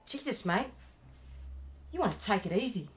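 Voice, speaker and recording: A woman's voice: mid-range voice, big pitch swings, normal speed, breathy edge. An Australian woman acting in a radio play as a wary, streetwise young runaway, plain-spoken and colloquial. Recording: music under the voice.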